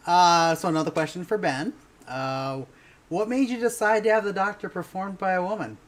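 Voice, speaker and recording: A man's voice: sits high, moderate pace. A man moderating a panel, putting questions in a casual, conversational way.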